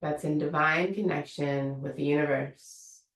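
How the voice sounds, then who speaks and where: A woman teacher leading a guided meditation in a slow, soothing, invitational way. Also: low-pitched, fairly even intonation, a bit bright, roomy sound, distant mic. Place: room of an online yoga studio.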